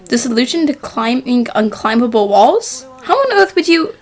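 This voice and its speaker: Plucky young detective for animated mystery fan dub, female actor: high-pitched, earnest, animated. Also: moderate pace, soft background music. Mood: puzzled, curious.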